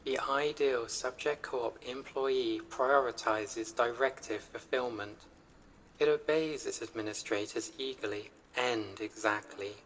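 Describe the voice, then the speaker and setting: A calm corporate AI acted out for an audio roleplay delivers methodical instructions very slowly. The voice is formal, detached and impersonal, with some ambient noise running behind it. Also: medium pitch, natural voice, rough recording. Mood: calm, instructing.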